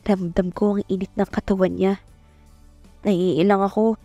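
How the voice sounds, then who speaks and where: A woman's voice: medium pitch, level tone, a little slow, mellow, dry recording. An actress playing a timid, tender young Filipina telling her love story in a romantic audio drama, speaking with a Filipino accent. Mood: tender awe. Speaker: narrator and lead actress. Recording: music bed behind voice.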